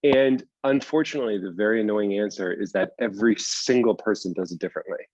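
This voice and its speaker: A man from the US East Coast talks casually as an interview guest. His voice is on the low side, and the recording is dry and a bit lo-fi. He explains things in an unassuming, self-deprecating way. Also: fast, a little mellow, dry recording. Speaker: guest, TV writer and teacher.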